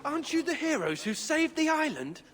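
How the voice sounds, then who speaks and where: A man playing an excited, grateful villager at a wedding in a video game cutscene. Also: extremely high pitch, normal speed. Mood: delighted, admiring.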